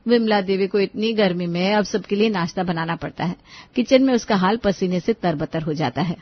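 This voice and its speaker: Woman reading a cartoon moral story, medium pitch, dry close sound, a bit dull. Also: a little fast, no room sound. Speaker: narrator. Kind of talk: scripted cartoon story narration.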